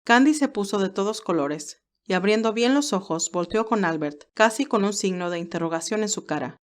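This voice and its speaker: A woman narrates a story from a script. Her pitch is in the middle range for a female voice. She takes the role of storyteller. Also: animated, moderate pace.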